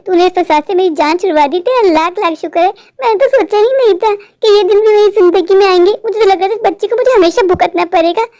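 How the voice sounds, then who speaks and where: A high-pitched, scheming stepmother, acted by a woman in a cartoon moral story. She is cruel, smug and dismissive, recorded very dry. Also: a little mellow, no room sound. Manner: sarcastic, self-satisfied, dismissive.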